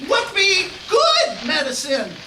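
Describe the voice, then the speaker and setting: Woman doing a squeaky, grumbling tough-guy sidekick. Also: huge pitch swings, takes it slowly, exaggerated voice. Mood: sarcastic. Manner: slangy, ungrammatical, complaining. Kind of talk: live radio play acting. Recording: echoey live stage, distant mic, faint noise.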